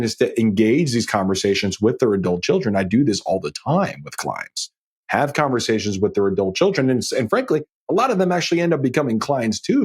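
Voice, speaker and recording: A man with a lively, swinging pitch and a slightly husky voice hosts an advice podcast. He speaks as a financial advisor, informally and straight to the listener, in an easy conversational monologue. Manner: informal, direct address with frequent checking tags like "okay?" and "right?".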